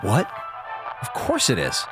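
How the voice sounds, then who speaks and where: A man's voice: lowish voice, wildly swooping pitch, takes it slowly, over music, heavily acted. An actor playing a laid-back, wisecracking male communications officer in a space audio drama, talking colloquially and hesitantly. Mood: skeptical.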